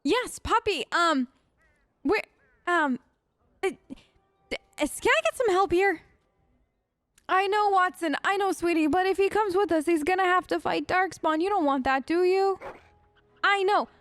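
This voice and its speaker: A woman's voice: very high voice, measured pace, long pauses, a bit bright, no room sound. A woman hosting a role-play let's play, chatting casually and a little hesitantly to game companions in character.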